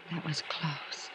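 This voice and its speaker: An actress playing a sultry, anxious woman romancing a detective in a 1950s radio drama. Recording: dull, noisy 1950s radio recording.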